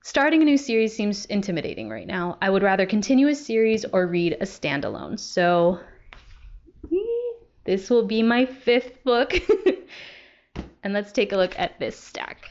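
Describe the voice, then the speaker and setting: A woman's voice: medium pitch, expressive, normal speed. Young woman chatting, slightly dull recording. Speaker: book vlogger. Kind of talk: casual chat to camera.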